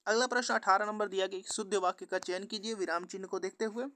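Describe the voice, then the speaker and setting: A man's voice: high-pitched, steady tone, fast, sharp tone. A man teaching an exam-prep lesson, reading out quiz questions and answers in a repetitive, instructional way.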